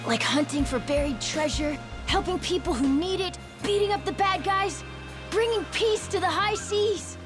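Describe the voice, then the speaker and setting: A woman voicing an eager, bubbly young pirate in a video game, earnest and excitable. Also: very high-pitched, normal speed, over music. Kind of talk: video game cutscene acting.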